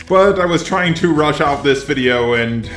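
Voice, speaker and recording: A synthetic text-to-speech man's robot voice delivering flat, self-deprecating commentary. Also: on the high side, normal speed. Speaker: YouTube commentator responding to criticism. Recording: echoey, faint music behind.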